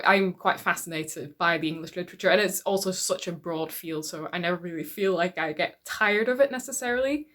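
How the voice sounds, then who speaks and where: A woman's voice: on the low side, a bit bright. A woman from the Netherlands whose native language is Dutch, chatting casually and reflectively to her viewers as a book YouTuber. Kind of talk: casual talk to camera about reading.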